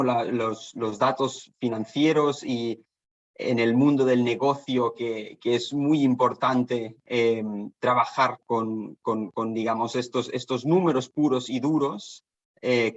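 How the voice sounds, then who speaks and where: A man's voice: medium pitch, fairly even intonation, slow, lo-fi sound. A man from Barcelona, half Danish, with a Spanish accent, giving a seminar talk as a guest consultant, with many fillers and restarts. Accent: Spanish from Spain. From Spain (Barcelona), half Danish. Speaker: guest lecturer, sustainability consultant. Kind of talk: online seminar presentation. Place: office in Copenhagen.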